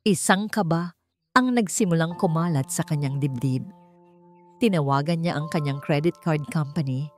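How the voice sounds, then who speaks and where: A woman reading a scripted romance story as a narrator, in a measured, melodramatic way. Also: sits low. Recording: music behind the voice. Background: background music.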